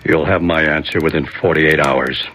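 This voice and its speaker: A beleaguered senator in an old American radio mystery. His voice is very low, grave and commanding, speaking tersely over faint background noise. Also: steady tone, moderate pace. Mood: tense, guarded. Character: troubled, authoritative senator under blackmail. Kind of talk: 1970s American radio drama acting.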